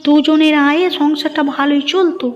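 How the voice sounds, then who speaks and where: A woman's voice: sits high, steady tone, fairly quick, a little mellow, dull audio, soft background music, lightly acted. A woman voicing a complaining cartoon village woman, plaintive and nagging. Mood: scolding. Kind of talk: Bengali moral-story cartoon dubbing.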